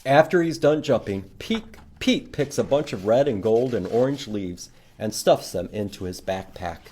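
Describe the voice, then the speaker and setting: A man hosting a children's storytime, reading a picture book and teaching letters in simple, expressive language with questions for young listeners. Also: mid-range voice, moderate pace, slight background noise. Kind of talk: children's storytime read-aloud and letter lesson.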